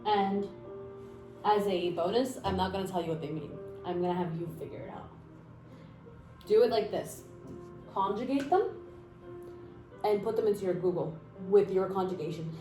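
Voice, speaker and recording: A woman's voice: mid-range voice, normal speed, long pauses. Young woman, casual language lesson, chatty and encouraging, roomy echo, faint music behind. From Mexico. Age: 20s. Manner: chatty and encouraging, with fillers and asides. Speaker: language teacher.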